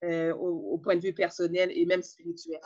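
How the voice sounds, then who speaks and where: A radio host leads the interview, asking reflective follow-up questions at a middle pitch. The sound is a little dull and lo-fi. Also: little pitch movement, moderate pace, a little mellow.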